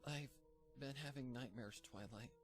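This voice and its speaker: A man's voice: measured pace, soft background music, natural voice. A man reading a fanfic aloud, voicing a frantic, sleepless young female pony in a pleading, rising voice. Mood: desperate. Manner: high, pleading, breaking into despair.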